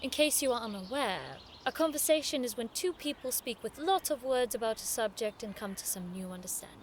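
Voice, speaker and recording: An actress playing a prim, sharp-tongued young noblewoman in an audio drama, formal, arch and sarcastic. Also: a little high, animated, brisk, slight background noise, close to an everyday voice. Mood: exasperated.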